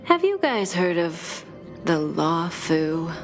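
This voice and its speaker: A mysterious game villainess with a lowish voice and a slow, languid delivery. She sounds self-assured and playfully teasing, over clearly audible music. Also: very animated, full character voice. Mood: enigmatic. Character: coolly teasing woman outlaw, mysterious and unhurried. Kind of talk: video game character acting.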